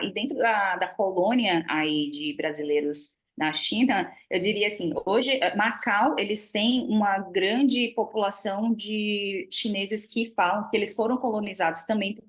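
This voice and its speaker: A Brazilian woman with a Brazilian Portuguese accent, talking about business as a webinar guest over a video call, chatty and full of fillers. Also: medium pitch. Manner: chatty, frequent fillers and restarts. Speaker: guest speaker on trade. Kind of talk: webinar talk. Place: lives in China.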